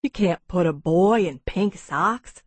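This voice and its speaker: A woman voicing an easygoing, wryly amused Texan businessman with a drawling baritone in an audiobook. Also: animated, takes it slowly, dry recording. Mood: wry, brisk. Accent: Texas. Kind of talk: audiobook character dialogue.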